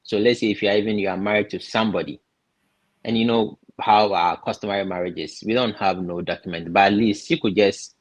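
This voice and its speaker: Husky low-voiced man from Ghana. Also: steady tone, rough recording. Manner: halting, repeats words while thinking. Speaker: guest explaining visa steps. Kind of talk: casual advice talk.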